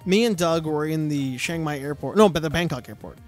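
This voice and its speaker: A young man chatting casually on a podcast, an animated storyteller full of restarts and "like" fillers. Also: on the high side, fairly quick, soft background music.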